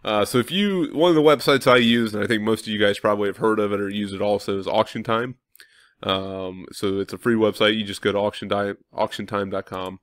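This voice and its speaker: Young male farmer vlogger, slightly low voice, stuffy with a cold, relaxed chatty delivery, dry close sound. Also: a little fast, no echo. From Midwestern United States. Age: young adult. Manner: thinks aloud with many ums and self-corrections. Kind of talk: casual walkthrough talk to viewers.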